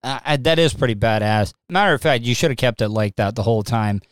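A man's voice: mid-range voice, fast talker, clean tone, no room sound, clean studio sound. A man hosting a livestream in casual chat, often stammering and repeating words. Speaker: livestream host.

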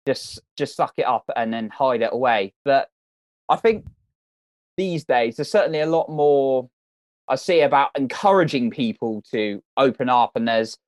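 A man's voice: medium pitch, moderate pace, dry recording. A man from the United Kingdom giving advice in a casual interview, earnest and encouraging, often repeating words as he thinks.

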